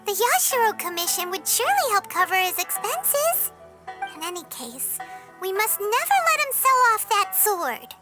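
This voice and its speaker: A woman voicing a tiny, chirpy childlike companion in a video game, with a squeaky, expressive delivery. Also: moderate pace. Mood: earnest, chatty. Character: tiny childlike floating companion, chirpy, talks in third person. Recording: loud music behind the voice.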